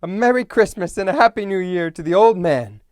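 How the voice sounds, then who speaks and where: A man's voice: high voice, fairly quick, darkish tone, dry recording, clean studio sound, voice pushed up, close to an everyday voice. A man reading aloud and voicing a jovial young nephew who raises a toast in a hearty, raised voice. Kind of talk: audiobook character voice.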